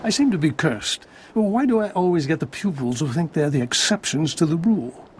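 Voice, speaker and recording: An actor playing a stern, suspicious Jedi master in a video game, formal, pompous and moralizing. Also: male voice, on the high side, lively intonation, a little fast, soft background music, lightly acted. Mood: exasperated.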